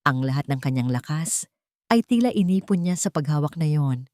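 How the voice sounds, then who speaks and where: A woman narrates a dramatic audio story in a low-pitched voice. Her phrasing is deliberate and suspenseful, and the recording is close and dry. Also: lively intonation, darkish tone, dry recording.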